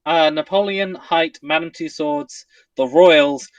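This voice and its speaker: A man's voice: on the high side, slow pace, no room sound, recorded from a distance, highs cut off. A young man co-hosting a podcast, joking playfully in casual chat. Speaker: podcast co-host.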